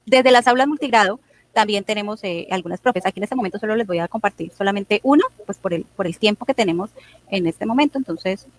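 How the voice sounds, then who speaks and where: A woman's voice: mid-range voice, fast, poor audio. A woman presenting at a forum who guides the audience through material, with fillers. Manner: guiding, with fillers "eh", "entonces".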